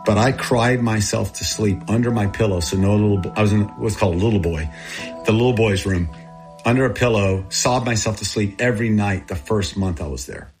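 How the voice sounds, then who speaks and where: A man in his sixties from Texas and Thailand, a native Thai speaker, telling personal stories in an earnest, confessional way in short plain phrases, with a husky voice. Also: on the low side, fairly quick, darkish tone, faint music behind. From born in Texas, grew up in Thailand. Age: 60s. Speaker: interviewee, missionary aid group founder. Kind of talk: personal storytelling in an interview.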